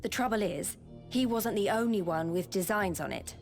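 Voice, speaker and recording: A woman's voice: mid-range voice, moderate pace, close to an everyday voice. An actress playing a friendly, informal woman soldier in a video game cutscene, talking in a frank, wry and casual manner. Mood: briefing. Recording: music behind the voice. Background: game music.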